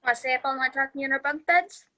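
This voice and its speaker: A woman's voice: high-pitched, fairly even intonation, fast, pushed and bright. A woman playing a matter-of-fact, geeky young man in an amateur sitcom table read.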